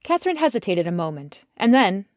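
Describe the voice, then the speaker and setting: A woman's voice: medium pitch, very animated, brisk, a little mellow, no echo, clean studio sound. A woman reading scripted literary narration as an audiobook narrator.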